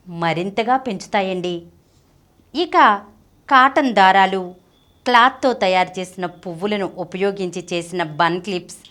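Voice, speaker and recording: A woman's voice: mid-range voice, fairly bright tone. A woman presents a scripted fashion programme, speaking directly to viewers as the host. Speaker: presenter of a women's fashion channel.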